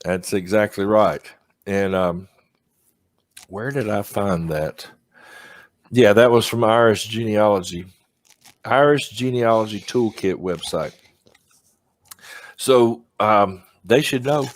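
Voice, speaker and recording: A man's voice: lowish voice, takes it slowly, long pauses. A middle-aged man hosting a podcast, chatting casually and laughing often as he tells stories. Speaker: podcast host. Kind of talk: casual chat.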